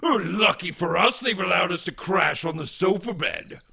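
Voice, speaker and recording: Florid imaginary companion, high raspy voice, theatrical swoops, audio comedy. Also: male voice, lively intonation, normal speed, bright, forceful tone, heavily acted. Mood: grandly cheerful. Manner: pompous, flowery, grandly mannered. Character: florid, theatrical man living in another man's mind. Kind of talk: audio comedy drama acting.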